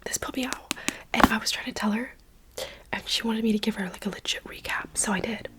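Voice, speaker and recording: A woman's voice: on the high side, fairly quick. A young woman whispering a chatty, dramatic book review in an ASMR style.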